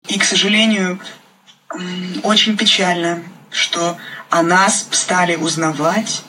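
A woman from Ukraine speaking reflectively as an interviewed playwright, halting and searching for her words. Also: on the low side, fairly even intonation, pushed and bright, rough recording. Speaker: guest playwright. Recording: faint background noise.

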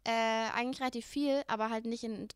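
A woman's voice: a little high, steady tone, a little fast, clear, resonant tone, dry recording, studio quality. An eighteen-year-old woman from Germany, co-hosting a podcast in a casual chat full of youthful slang. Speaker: podcast co-host.

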